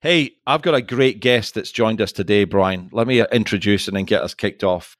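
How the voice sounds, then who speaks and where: A man's voice: on the low side, normal speed, no echo, studio quality. A man hosting a podcast, chatty and teasing as he introduces his guest. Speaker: podcast host.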